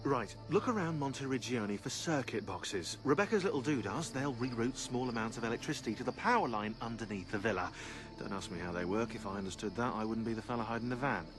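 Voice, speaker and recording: An actor with a British accent playing a sarcastic, grumbling scholarly historian in a video game cutscene, wordy and theatrically exasperated. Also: male voice, medium pitch, lively intonation, fast, few pauses, close to an everyday voice. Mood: dry, grudging. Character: sarcastic, grumbling scholarly male historian in a modern assassin team. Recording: long hall reverb, music behind.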